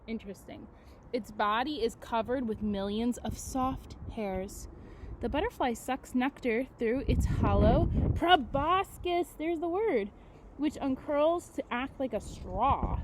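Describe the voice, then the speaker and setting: Woman, slightly high voice, teacher's read-aloud, expressive, chatty asides to children, light ambient noise. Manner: expressive, addresses young listeners with questions and explanations.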